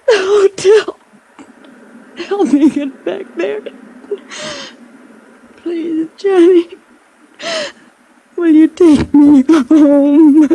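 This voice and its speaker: A woman's voice: very high voice, drawn-out words, long pauses, warm tone, heavily acted. An actress playing a bitter, heartbroken, hard-drinking woman in an old-time radio drama, with a wounded delivery. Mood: tearful pleading. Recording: noisy vintage radio recording.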